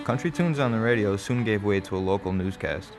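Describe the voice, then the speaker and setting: A man plays a middle-aged father narrating a radio play in a low voice. His telling is wry and measured. Music plays clearly behind him. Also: close to an everyday voice. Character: wry travelling father telling a strange roadside tale. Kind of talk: scripted first-person radio play narration.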